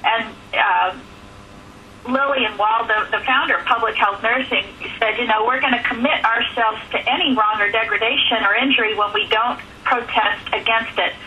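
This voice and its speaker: A woman speaking earnestly and haltingly as a nurses' advocate on a press teleconference. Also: a little high, sharp tone. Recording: noisy telephone conference line.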